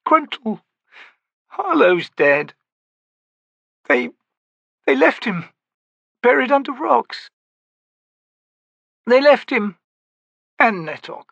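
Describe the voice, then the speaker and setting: A man's voice: very high-pitched, very animated, extremely slow, gravelly, pauses often, a bit bright, no room sound, muffled recording, voice pushed up, heavily acted. A man doing a grieving battle-worn warrior woman, raised and breaking. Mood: grief-stricken. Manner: raw, breaking, anguished.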